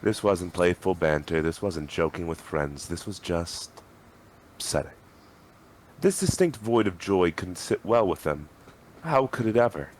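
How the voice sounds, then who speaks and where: Deep-voiced man narrating dramatically. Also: expressive, measured pace, slight background noise. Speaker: reader in a group dramatic reading. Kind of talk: dramatic scripted story narration.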